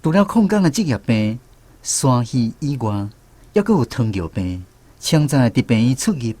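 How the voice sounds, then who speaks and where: A man with a Taiwanese accent narrating a scripted radio drama as a grown son, reflective and expressive. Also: mid-range voice, normal speed, a little hiss or hum. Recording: music behind the voice.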